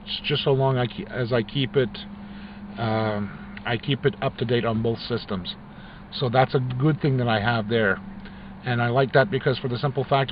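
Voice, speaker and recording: Brief: middle-aged man, casual diary vlog, rambling delivery, muffled lo-fi audio with light room hum. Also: mid-range voice. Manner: rambling, with frequent restarts and fillers. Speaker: vlogger. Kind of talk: casual talk to camera. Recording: dull lo-fi recording with faint ambience.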